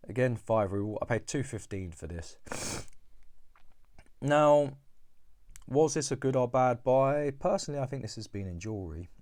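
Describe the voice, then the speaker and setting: A man's voice: medium pitch, lively intonation, moderate pace, long pauses, a little mellow, dry recording, studio quality. A coin dealer with a cold, chatting casually to camera about coins he bought, rambling and repeating words. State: has a cold.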